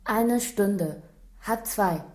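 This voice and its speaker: Halting Chinese cleaning woman, slow speech. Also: mid-range voice, darkish tone. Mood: timid, terse. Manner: halting broken sentences, very few words. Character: Chinese cleaning woman answering police questions tersely. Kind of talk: radio drama acting. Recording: roomy echo.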